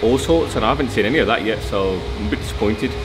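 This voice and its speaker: A man's voice: lowish voice, fast, over loud music. A British man at the gym joking dryly about the heat. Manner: dry, joking. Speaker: gym-goer interviewed. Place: large gym indoors.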